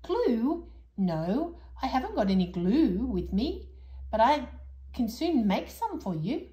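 A jolly old saucepan man, loud and high-pitched, as a woman reads him aloud. He gets words wrong and bounces about in pitch with cheerful excitement. A children's storybook voice. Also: takes it slowly, roomy sound. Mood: excited. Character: old, hard-of-hearing, cheerful male saucepan peddler.

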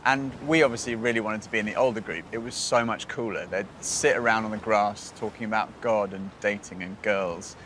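A man's voice: on the low side, a little fast, hardly any pauses, a bit bright, slight background noise, rough recording. Earnest British man presenting, music behind. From Britain. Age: 30s.

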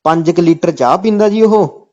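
A Punjabi-accented man with a fairly high voice is making a comic phone call. He is insistent and keeps returning to stock phrases. The recording is dull and lo-fi. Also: moderate pace, dark tone.

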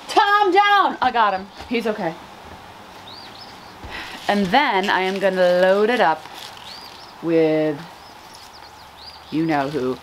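Expressive, gushing woman chatting on a porch. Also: on the low side, a little hiss or hum. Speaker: flower farmer and vlog host. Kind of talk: casual chatty vlog to camera. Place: outdoors on a covered house porch.

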